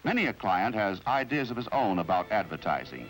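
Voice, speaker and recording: A man with an American accent narrates a 1950s training film from a script in a formal, measured, explanatory way. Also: on the low side, very animated, poor audio. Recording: vintage 1950s film soundtrack with music.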